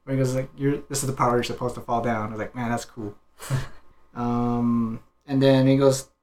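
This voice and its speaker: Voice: mid-range voice, level tone, moderate pace, a little mellow. A podcast co-host chatting casually about a film, retelling moments loosely and laughing easily. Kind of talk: casual movie discussion.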